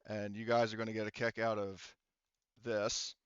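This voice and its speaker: A man's voice: fairly low pitch, flat delivery, moderate pace, clear, resonant tone, no room sound, highs cut off. A man acting as game master, casually narrating a tabletop game and muttering to himself as he checks rules and counts.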